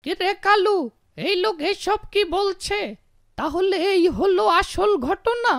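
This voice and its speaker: Lady doing a squeaky high cartoon landlord man, slow and theatrical, soft-hearted, music behind. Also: gravelly, no echo, studio quality, full character voice. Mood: puzzled. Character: gullible, tender-hearted male village landlord. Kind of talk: exaggerated cartoon dubbing.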